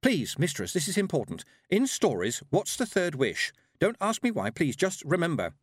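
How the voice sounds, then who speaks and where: An earnest young witch girl from a storybook, blunt and determined voiced by a male narrator. Her raised voice is lively and emphatic, pressing each point. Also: a little high, unhurried, husky, no echo, studio quality, voice pushed up, exaggerated voice. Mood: urgent pleading. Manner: insistent, emphatic.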